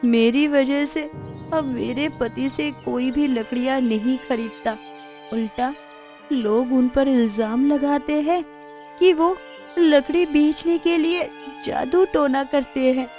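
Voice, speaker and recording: Woman playing a high-voiced, sorrowful village woman. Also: steady tone, slow pace, warm tone, faint music behind. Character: village women of a moral tale, sorrowful and self-blaming. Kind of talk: Hindi cartoon story voice acting.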